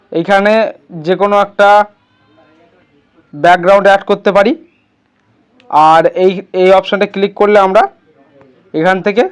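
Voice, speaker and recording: A man's voice: high voice, clear, resonant tone. A man hosting a casual step-by-step tutorial, instructing his viewers like friends. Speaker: tutorial host.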